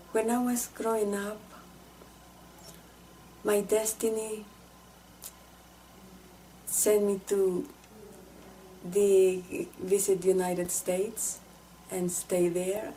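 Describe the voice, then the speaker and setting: A woman from El Salvador gives a halting, reflective, earnest personal testimony. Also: mid-range voice, warm tone, a little hiss or hum, poor audio.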